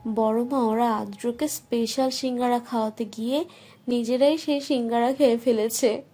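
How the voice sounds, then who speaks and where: A woman's voice: fairly high pitch, steady tone, normal speed, warm tone, faint music behind, close to an everyday voice. A woman playing a concerned young woman in an audio family drama.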